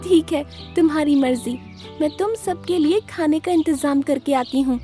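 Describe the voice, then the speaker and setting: Woman voicing a high-pitched kind mother bird. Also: normal speed, music in the background, natural voice. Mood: tender. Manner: tender, motherly.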